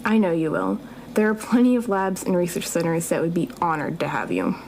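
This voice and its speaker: Gentle, firm woman professor, played by an actress. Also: fairly low pitch, lively intonation, brisk, vocal fry, slight background noise, rough recording. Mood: tender. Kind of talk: audio drama dialogue.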